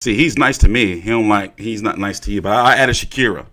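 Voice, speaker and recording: A man's voice: on the low side, quick pace, pushed and bright. A man hosting a boxing livestream in a casual, blunt and profane ranting style, often repeating his phrases.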